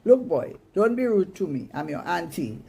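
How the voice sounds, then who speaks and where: A woman's voice: lowish voice, very animated, normal speed, vocal fry, dark tone, breathy edge, heavily acted. A woman voicing a bossy, exasperated mother in a storybook, with a scolding manner and emphatic Caribbean dialect. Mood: firm, annoyed. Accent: Caribbean, Dominica.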